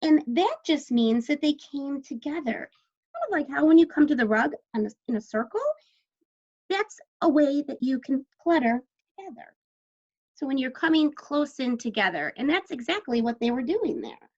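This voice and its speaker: Lively-pitched woman teaching young children. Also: highs cut off. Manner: simple, deliberate explaining to small children. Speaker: teacher guiding young children through a story. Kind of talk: child-directed questions and word explanations.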